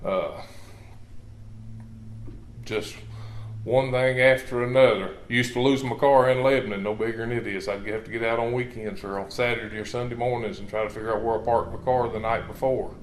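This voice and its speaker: A man's voice: medium pitch, fairly even intonation, normal speed, a little hiss or hum. Plainspoken man telling his story. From southwestern Virginia. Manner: rambling, plainspoken storytelling with dry humor. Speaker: guest giving testimony. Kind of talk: personal testimony. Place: church sanctuary. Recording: echoey church room.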